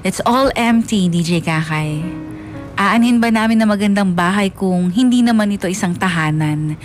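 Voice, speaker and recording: A hurt, resentful young Filipino narrator of a confessional radio drama, voiced by a woman. The delivery is plaintive and reflective at a medium pitch, over a faint music bed. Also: normal speed, lightly acted. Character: hurt, resentful child telling of parents' separation.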